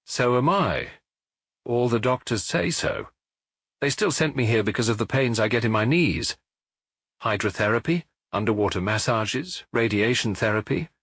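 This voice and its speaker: Man playing a merry, husky ex-pickpocket, lively and chatty. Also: mid-range voice, normal speed, dry recording, clean studio sound. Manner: jovial, garrulous, familiar. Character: merry, chatty ex-pickpocket, a reformed petty crook past his youth.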